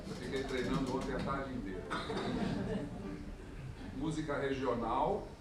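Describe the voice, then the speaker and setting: A man's voice: mid-range voice, a little slow, a loud crowd. A Brazilian man gives a chatty, jokey spoken introduction from a concert stage and keeps thanking the audience. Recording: echoey hall from far off, audience noise. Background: audience ambience.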